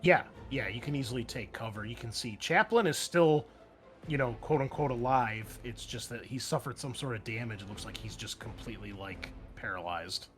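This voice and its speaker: A man's voice: mid-range voice, normal speed, faint music behind. A man running a tabletop game, narrating action dramatically in casual, profanity-laced speech. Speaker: game master.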